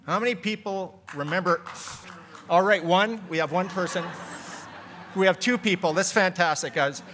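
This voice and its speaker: A man's voice: high-pitched, moderate pace, fairly bright tone, a little hiss or hum. Guy moderating a conference, reads his intro then jokes with the crowd. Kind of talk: introducing a keynote speaker. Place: conference hall.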